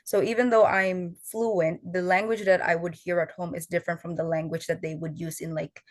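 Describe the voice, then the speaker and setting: A woman's voice: on the low side, little pitch movement, fairly quick, no room sound, studio quality. A young woman whose first language is Tagalog, earnestly explaining her oral history work in a conversational interview, with some fillers. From Filipino family.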